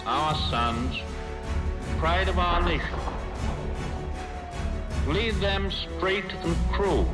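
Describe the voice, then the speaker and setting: An actor playing a wartime president giving a stately, prayerful 1940s radio address to the nation. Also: male voice, sits high, lively intonation, extremely slow, heavily acted. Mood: solemn, resolute. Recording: loud music under the speech.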